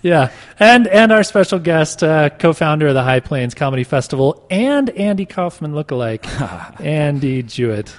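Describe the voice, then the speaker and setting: A man's voice: on the high side, big pitch swings, normal speed, few pauses. Man, podcast host, casual chat, joking, echoey marble room. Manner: wry, joking. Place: marble boardroom in a clock tower.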